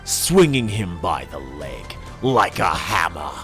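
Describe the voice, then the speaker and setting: Very deep-voiced man, slow dramatic narration. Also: big pitch swings. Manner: slow, savouring, theatrical delivery with weighty pauses. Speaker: audiobook-style reader. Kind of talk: dramatic scripted narration in second person. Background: music.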